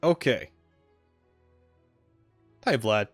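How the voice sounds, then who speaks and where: A man's voice: medium pitch, animated, drawn-out words, no room sound, soft background music. Casual male gaming streamer, dry close sound. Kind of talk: casual game playthrough commentary.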